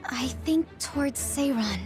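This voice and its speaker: An actress playing a soft-spoken, hesitant young psychic scout in an anime-style video game. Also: female voice, sits high, measured pace, music in the background. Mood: apologetic, frustrated.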